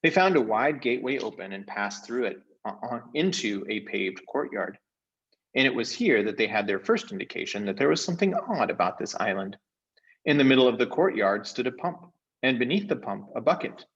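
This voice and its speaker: A man reading a storybook aloud as an expressive narrator. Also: medium pitch, a little fast, slightly rough, dull audio.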